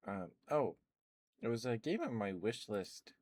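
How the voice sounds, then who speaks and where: A man rambling in a soft, meandering ASMR chat, with stammered restarts and fillers, close to the microphone. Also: fairly low pitch, sing-song, a little slow, no room sound. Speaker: ASMR streamer.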